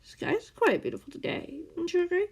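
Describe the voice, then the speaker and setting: A woman's voice: extremely high pitch, animated, fairly quick, creaky, pitched above the performer's own voice, full character voice. Woman putting on a light, teasing hermit man. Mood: whimsical. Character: calm whimsical male hermit in a wheelchair.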